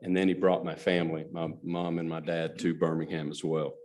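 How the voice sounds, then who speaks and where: A man's voice: low voice, little pitch movement, fairly quick, darkish tone. A middle-aged man giving an earnest luncheon speech as a company president. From Alabama, USA. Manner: earnest storytelling, addresses audience directly. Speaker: guest speaker, company president.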